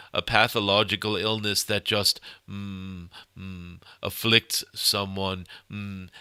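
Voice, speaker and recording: A man voicing an ancient, benevolent cosmic dragon spirit guide, speaking formally and haltingly with frequent hummed pauses, as in a channeled spiritual message. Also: lowish voice, sharp tone, clear, resonant tone, dry recording, studio quality, heavily acted. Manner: halting, hums "mm" between phrases, formal archaic phrasing.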